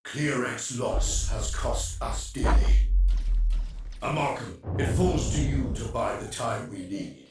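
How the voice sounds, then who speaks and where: Echoing, imperious ancient machine pharaoh. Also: male voice, mid-range voice, lively intonation, slow pace, a little mellow. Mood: imperious, grave. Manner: stately, formal, commanding. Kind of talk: dramatic video game cutscene acting. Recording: heavy cavernous echo, distant, under music.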